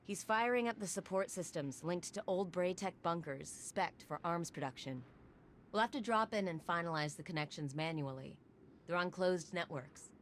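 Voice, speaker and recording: An actress playing a brisk, wry tech operative in a video game, talking in a matter-of-fact, conversational way. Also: female voice, on the low side, slight background noise, close to an everyday voice. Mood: wry, determined. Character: brisk, wry female sci-fi operative and technician. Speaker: tech specialist ally character.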